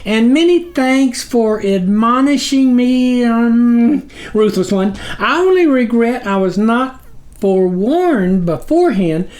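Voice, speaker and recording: Squeaky, grovelling little demon. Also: male voice, expressive, slow pace, darkish tone, exaggerated voice. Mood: nervous fawning. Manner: flowery, fawning deference. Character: tiny squeaky grovelling demon underling, nervously obsequious.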